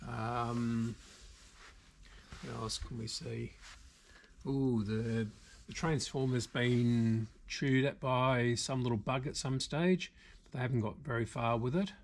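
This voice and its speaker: Slow-talking Australian man, thinking aloud. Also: mid-range voice, fairly even intonation, a little hiss or hum. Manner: unscripted, halting, thinking aloud. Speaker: video host, radio repairer. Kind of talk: casual hands-on repair commentary. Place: indoor workshop.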